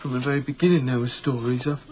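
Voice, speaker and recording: A man's voice: medium pitch, steady tone, very fast, natural voice. Man acting a meek Victorian night watchman, tinny sound. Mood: respectful, hesitant. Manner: formal, deferential, hesitant. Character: frightened, pious Victorian night watchman, deferential working man. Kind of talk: radio drama acting. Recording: tinny band-limited radio broadcast, noisy background.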